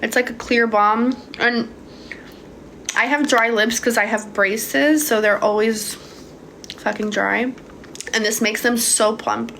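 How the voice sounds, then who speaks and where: A woman's voice: fairly high pitch, steady tone, slow, creaky, pauses often, a bit bright, a little hiss or hum. A young woman from Hungary answering viewers' questions about manifesting in a casual, swearing livestream chat. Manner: casual, swears freely, calls viewers babe. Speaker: manifestation coach. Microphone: phone.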